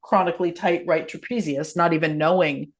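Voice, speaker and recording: A woman hosting a podcast in an informal, chatty and expressive conversational style. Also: lowish voice, fairly quick. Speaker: podcast host.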